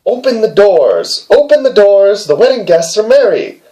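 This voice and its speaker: Man playing a little hare suitor, high-pitched, insistent, coaxing, repetitive, lively storybook character. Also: moderate pace, poor audio. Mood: insistent, urging.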